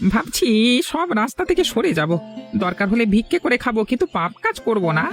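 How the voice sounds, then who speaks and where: A man plays a repentant young cartoon crook with a strikingly high, creaky voice. His pitch swings widely as he talks in a resigned, conscience-stricken way. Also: sing-song, fairly quick, fairly bright tone, faint music behind, heavily acted. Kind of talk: Bengali cartoon story dialogue.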